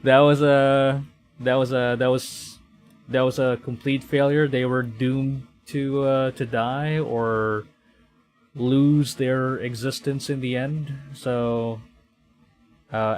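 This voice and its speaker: A man's voice: medium pitch, little pitch movement, slow. A man from the Philippines hosting a livestream in casual chat, speaking hesitantly and often repeating and rephrasing his phrases. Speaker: VTuber livestream host. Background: music.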